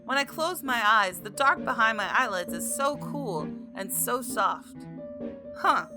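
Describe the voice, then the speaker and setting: A woman doing a let's play, reading a visual novel aloud in an expressive way and exclaiming as she reacts. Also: a little high, measured pace, over music. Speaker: gaming video creator.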